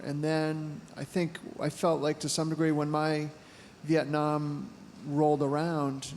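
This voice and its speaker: A man's voice: a little high, darkish tone. Hesitant middle-aged man in an echoing hall. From United States. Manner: hesitant, many fillers and restarts. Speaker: photojournalist speaker. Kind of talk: candid panel Q&A answers. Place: brewery event hall. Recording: long reverb in a brewery hall, faint room noise.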